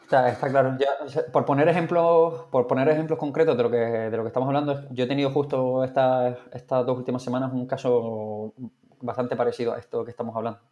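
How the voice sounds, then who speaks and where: A man's voice: mid-range voice, steady tone, fast, dark tone, lo-fi sound. A man chatting casually as a podcast co-host, thinking aloud with frequent restarts. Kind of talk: casual chat.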